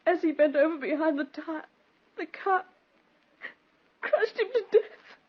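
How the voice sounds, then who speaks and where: An actress playing a cold-blooded, domineering wife who can turn sugary, in a vintage radio thriller. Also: female voice, extremely high pitch, animated, a little slow. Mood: feigned distress. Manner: commanding, sugary when pretending. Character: scheming, cold-blooded, domineering wife plotting her husband's murder. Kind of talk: 1940s-60s radio thriller acting. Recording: muffled, noisy vintage radio recording.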